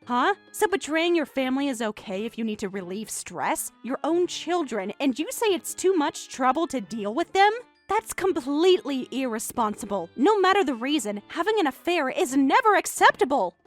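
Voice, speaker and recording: Woman acting scornful betrayed wife, high and animated. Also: moderate pace, few pauses, soft background music. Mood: scolding indignation.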